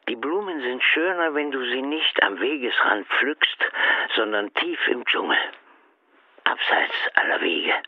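An earnest, philosophising brewer in a radio play. He speaks reflectively at a middling pitch. The sound is dull and very dry. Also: no echo. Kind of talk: radio drama acting.

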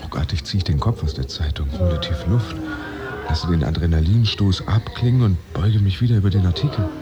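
An actor as a low-voiced, anxious man. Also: a little fast, loud music behind the voice, natural voice. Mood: startled, tense. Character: anxious, proud man keeping up a pretence of office work. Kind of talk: interior monologue in a radio play.

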